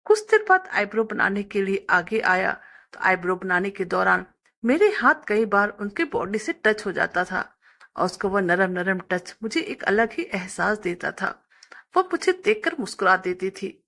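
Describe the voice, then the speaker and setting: A woman's voice: medium pitch, very animated, normal speed, a bit bright. A woman reading a scripted first-person story as a narrator.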